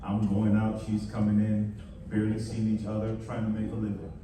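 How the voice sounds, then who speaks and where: A man with a somewhat low voice speaks earnestly to a live audience, urging them on and building short lists. He is far from the mic in an echoing hall, with steady noise behind. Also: a little fast. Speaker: panel speaker. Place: event hall.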